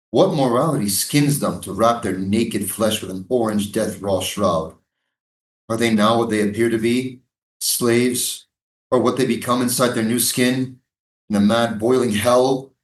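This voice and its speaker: A man's voice: on the low side, measured pace, far from the mic, close to an everyday voice. A man reading a bitter, brooding dramatic monologue in a staged play, declamatory and emphatic. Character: bitter man brooding over shackled prisoners. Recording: echoey room, off microphone.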